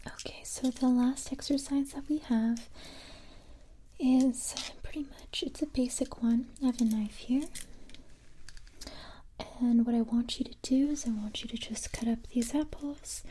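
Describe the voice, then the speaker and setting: A woman doing a soft-spoken, whispered ASMR roleplay as a calm, encouraging police recruiter, gentle and hesitant, close to the microphone. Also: fairly high pitch, slight background noise. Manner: gentle, hesitant, unhurried reassurance.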